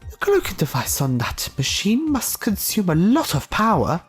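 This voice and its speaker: A curious alien woman acted out by an audiobook reader, set much higher than the narrating voice. She sounds wide-eyed and inquisitive, with music underneath. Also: huge pitch swings, moderate pace, breathy edge, heavily acted. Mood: awed. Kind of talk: dramatic audiobook character voice.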